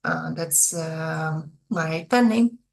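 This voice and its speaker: A woman's voice: low voice, very slow, gravelly. A young adult woman with a Hungarian accent chatting casually and a little hesitantly, as an author talking to her viewers. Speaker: author presenting own video channel. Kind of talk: casual chat to camera.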